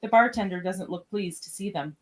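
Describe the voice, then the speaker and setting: A woman's voice: mid-range voice, fairly quick. A woman reading scripted scene directions as narrator of a podcast radio play.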